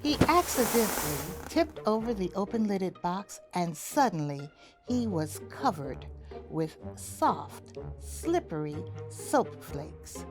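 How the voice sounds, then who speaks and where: A woman narrates a picture book for children. Her reading is expressive and deliberate, with emphatic phrasing and a lively, swooping pitch, over faint music. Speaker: library story reader.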